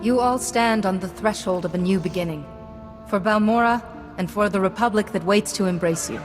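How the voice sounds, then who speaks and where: An actress playing a calm, authoritative female Jedi diplomat in a video game, speaking in a measured, formal way. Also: medium pitch, close to an everyday voice. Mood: solemn. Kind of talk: video game dialogue acting. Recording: long hall reverb, music behind.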